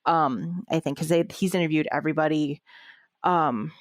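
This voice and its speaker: A woman's voice: low-pitched, moderate pace, no room sound, clean studio sound. A woman co-hosting a podcast, chatting casually about music with lots of fillers and restarts. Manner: rambling, many fillers and restarts. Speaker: podcast co-host. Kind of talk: casual music review chat.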